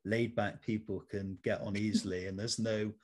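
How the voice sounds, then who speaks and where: Low-voiced male interview host. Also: steady tone, moderate pace, darkish tone. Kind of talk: scripted interview questions with casual chat.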